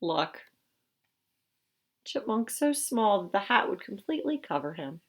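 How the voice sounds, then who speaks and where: Female storybook reader, mid-pitched and highly animated, teacherly and expressive, speaking plainly for young children. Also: unhurried. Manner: expressive, teacherly, explains the pictures simply. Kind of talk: children's read-aloud with explanatory asides.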